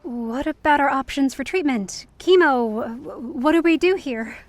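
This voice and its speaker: An actress voices a worried daughter telling her story, reflective and subdued, in a fairly high voice. It is a scripted audio drama, heard in a roomy space a bit away from the mic. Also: a little slow. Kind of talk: first-person scripted narration in audio drama. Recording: roomy, distant mic, faint music behind.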